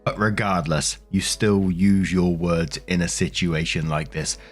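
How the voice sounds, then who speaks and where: A man's voice: deep voice, steady tone, unhurried, faint music behind. A man hosting and reading online stories aloud in a conversational way, with casual commentary addressed to listeners. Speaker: host narrator of online stories.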